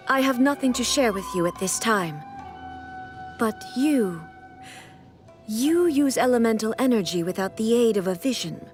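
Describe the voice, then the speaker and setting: A woman voicing a stern, solemn immortal lightning ruler in a video game, speaking in a formal, measured, imperious manner. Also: fairly high pitch, a little mellow. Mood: grave, composed. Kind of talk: scripted video game character voice lines.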